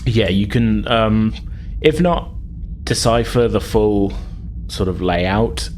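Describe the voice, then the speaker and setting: Low voiced guy game mastering, kinda rambly and improvised, noisy background. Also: slow, darkish tone. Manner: hesitant, rambling, explanatory. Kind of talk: tabletop game narration, improvised and conversational. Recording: clear background noise.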